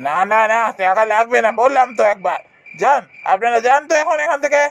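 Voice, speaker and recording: Man acting insistent high-pitched ghostly stall keeper. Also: lively intonation, fairly quick, fairly bright tone, a little hiss or hum, full character voice. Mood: irritated. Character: ghostly middle-aged food-stall keeper, humble then menacing. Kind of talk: animated horror story acting.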